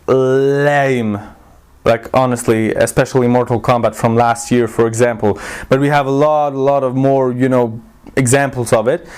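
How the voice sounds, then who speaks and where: A man's voice: mid-range voice, a little mellow. A man giving a casual movie review to his viewers, talking informally and often cutting himself off as he rambles. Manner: rambling, self-interrupting, informal. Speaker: YouTube film reviewer and channel host.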